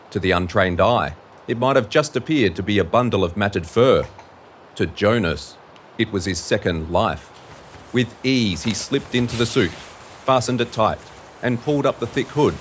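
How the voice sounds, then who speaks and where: A man's voice: on the low side, slight background noise. A man reading scripted narration for an audio drama.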